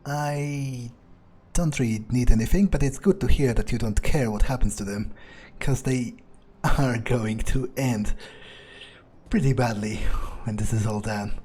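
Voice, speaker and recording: A man's voice: medium pitch, a little slow, warm tone, husky. Blunt, dry-voiced male gamer in character. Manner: dry, blunt and laconic, with cursing. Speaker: gamer roleplaying his character. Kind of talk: in-character game roleplay commentary.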